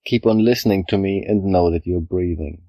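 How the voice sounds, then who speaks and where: A man leading a scripted guided meditation, speaking in a calm, measured, instructional way with pauses between phrases. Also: low-pitched, no room sound, dull audio. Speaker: yoga nidra guide.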